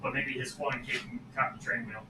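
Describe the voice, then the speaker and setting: A man's voice: medium pitch, fairly even intonation, fast, sharp tone, distant mic. A man playing a tabletop game, talking in a dry way and reading card text aloud. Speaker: player. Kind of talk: casual tabletop game chat. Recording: echoey room, off-mic, noisy.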